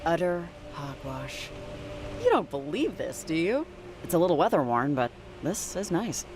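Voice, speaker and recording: A woman's voice: deep voice, very animated, unhurried, noisy background. An actress playing a dry, sarcastic, laid-back woman in a video game, speaking in an understated, casual way. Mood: dry teasing. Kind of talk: naturalistic video game dialogue.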